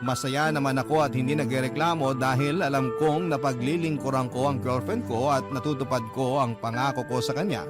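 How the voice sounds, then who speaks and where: A man's voice: medium pitch, brisk, hardly any pauses. A man narrating a first-person letter in a radio drama, in an earnest, confessional and respectful way. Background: music.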